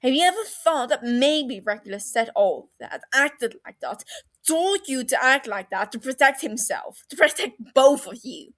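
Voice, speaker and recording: A woman's voice: high voice, animated, a little slow, a bit bright, pitched above the performer's own voice. A woman playing an angry young man, biting and emphatic. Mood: pressing. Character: angry young man scolding his friend, sharp and condescending.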